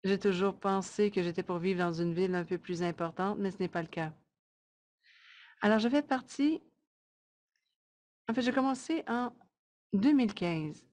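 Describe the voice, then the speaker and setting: A woman speaks at a medium pitch. She sounds like a live interpreter relaying a webinar presentation. Her delivery is measured and a little halting, arriving in short phrases. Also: a little mellow.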